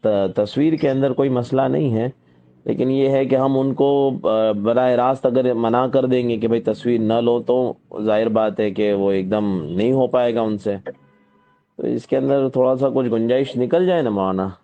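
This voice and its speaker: A man on a phone call, politely and hesitantly giving gentle advice, with many pauses. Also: mid-range voice. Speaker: caller. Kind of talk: phone conversation. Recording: muffled lo-fi phone call recording.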